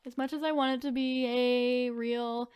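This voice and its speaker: A woman's voice: on the high side, flat delivery, unhurried, a little mellow, clear, resonant tone. A woman co-hosting a podcast, reading online stories aloud and chatting in an informal, conversational way. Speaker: podcast co-host.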